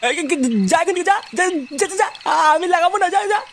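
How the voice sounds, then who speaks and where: A voice actress as a high, grumbling village man. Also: fairly even intonation, fast, a little hiss or hum. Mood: frightened. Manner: cursing, stammering when scared. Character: foul-mouthed, grumbling, easily spooked village man. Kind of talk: cartoon voice acting.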